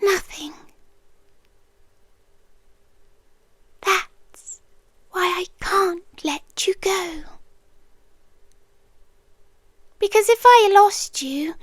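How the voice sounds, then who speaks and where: A woman's voice: very high voice, no room sound. A British woman playing a centuries-old possessive monster lover in an ASMR roleplay, coaxing and sweetly menacing, often slipping into a whisper. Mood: adoring, intense. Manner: slow, hushed, coaxing, often drops into whispers.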